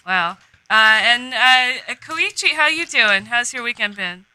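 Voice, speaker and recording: A woman hosting a casual podcast chat, relaxed and full of fillers. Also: fairly high pitch, unhurried, dry recording, poor audio. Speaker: podcast host.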